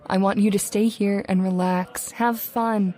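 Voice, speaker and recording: A woman playing an affectionate young fiancée in a radio drama, gentle and playful. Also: mid-range voice, steady tone, a little slow, lightly acted. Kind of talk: old-time radio drama acting.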